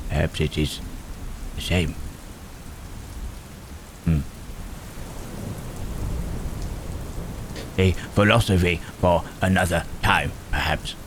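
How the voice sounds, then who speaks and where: Very deep, halting, gentle monster acted by a man. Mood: pensive. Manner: halting, thoughtful, old-fashioned formal phrasing with murmured tags. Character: gentle, melancholy male Frankenstein's monster, protective and hesitant. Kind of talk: ASMR roleplay acting. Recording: rain and thunderstorm noise throughout. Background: rain and thunder.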